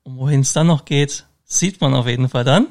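A man's voice: medium pitch, fairly quick, gravelly, darkish tone. A man hosting a casual interview podcast, rambling along with fillers and restarts. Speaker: podcast host.

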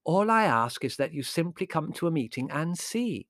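A man's voice: a little high, sing-song, moderate pace, a bit bright, no echo, clean studio sound, pitched above the performer's own voice. A man voicing an earnest, gullible teenage girl with a dramatic, indignant way of talking. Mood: insistent.

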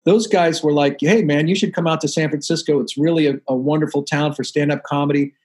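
A man from the Washington DC area hosts an interview show in a slightly higher-than-usual voice. He talks in a friendly, loose way, filling gaps with "uh" as he introduces and questions his guest. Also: fairly even intonation, a little fast, darkish tone. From Washington DC area, USA. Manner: friendly, chatty, many "uh" fillers. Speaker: podcast host. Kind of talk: casual interview chat.

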